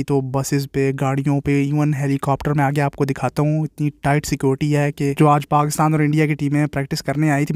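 A man's voice: fairly high pitch, a little mellow, dry recording, clean studio sound. Chatty man. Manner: chatty, opinionated, keeps saying "bhai". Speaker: cricket vlogger. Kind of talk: casual walking vlog commentary. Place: outdoors, walking along streets and parks.

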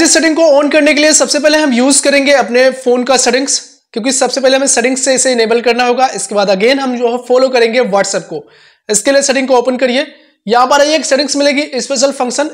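A man in his twenties with an Indian accent, hosting a casual how-to tutorial, recorded indoors on a lapel mic. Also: extremely high pitch. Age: 20s. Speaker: tech tutorial host. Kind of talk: casual how-to explanation to camera. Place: small indoor room. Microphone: clip-on lapel mic.

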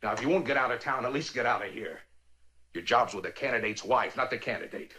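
An actor playing a burly, domineering middle-aged campaign manager in a crime drama, brusque, bullying and sarcastic. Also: male voice, medium pitch, quick pace, bright, forceful tone, close to an everyday voice. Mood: bullying, impatient.

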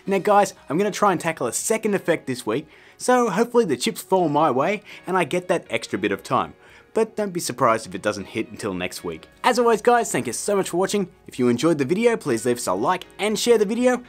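A man's voice: high voice, big pitch swings, brisk, faint music behind. A man in his thirties hosting a casual video tutorial, playful, chatty and informal in his delivery. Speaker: tutorial host.

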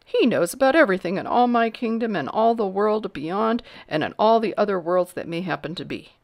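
A woman voicing a blustering, commanding old king who booms out imperious orders in a storybook. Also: lively intonation, fairly quick, vocal fry.

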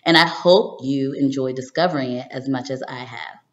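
A woman in her thirties, a teacher doing an expressive read-aloud for children, recorded indoors on a webcam. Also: very deep voice, normal speed. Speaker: teacher reading a picture book to children. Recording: dull, roomy home webcam recording.

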